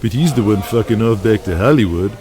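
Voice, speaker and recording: A man's voice: on the low side, lively intonation, brisk, mellow, close to an everyday voice. A man playing a proud male pro wrestler in an audio drama, blunt and grudging. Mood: resentful.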